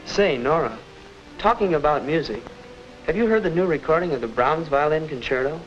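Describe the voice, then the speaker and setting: An actor as a blunt young American man on a date in a 1950s educational film, casual and set in his ways. His voice is slightly high, on a dull old soundtrack with faint music. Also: lively intonation, fairly quick, natural voice. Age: young adult. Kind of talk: 1950 educational film acting.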